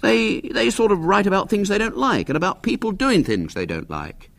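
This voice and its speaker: A man's voice: high voice, wildly swooping pitch, measured pace, fairly bright tone, no echo, voice pushed up, exaggerated voice. A man playing an earnest schoolboy who speaks with self-important, knowing solemnity in a storybook reading. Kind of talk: audiobook character voice.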